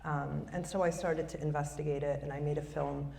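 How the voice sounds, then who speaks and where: A woman's voice: low voice, fairly even intonation, brisk, creaky, dark tone. A woman filmmaker from Chicago, speaking reflectively with many fillers on a conference panel. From Chicago, United States. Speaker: filmmaker and former public radio journalist. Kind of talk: panel discussion. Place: conference hall. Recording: roomy hall, mic far off, faint noise.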